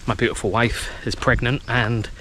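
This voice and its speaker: A man at a middling pitch gives a nervously excited walking commentary as he explores an abandoned fort. His talk is chatty and full of exclamations. It is recorded outdoors on a handheld camera mic, with clear background noise and handling clicks. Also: moderate pace, poor audio. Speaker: travel vlogger. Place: outdoors at an abandoned coastal military base in the heat.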